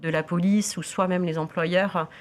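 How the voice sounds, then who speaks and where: A woman who heads a social service, a guest on a TV talk show, giving measured explanations with frequent tags and fillers. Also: fairly low pitch, fairly even intonation.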